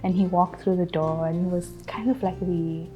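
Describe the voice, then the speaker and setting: A woman's voice: fairly low pitch, flat delivery, moderate pace, dark tone, low-quality recording. Young South African woman with music behind. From Durban, South Africa. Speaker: interviewee telling her relationship story. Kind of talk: casual personal account.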